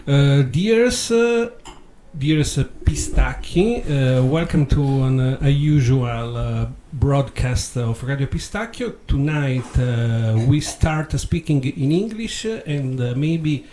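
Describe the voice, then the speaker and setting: Italian guy hosting radio in english, slow talker, jokey, bit of hiss behind. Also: mid-range voice, lively intonation, mellow. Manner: jokey, lots of "uh" fillers. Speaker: radio host. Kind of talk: casual radio chat.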